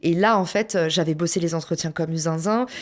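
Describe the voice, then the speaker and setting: A French woman telling a personal story in a casual interview, lively and slangy, with many fillers and restarts. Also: lowish voice, brisk. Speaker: podcast guest, entrepreneur.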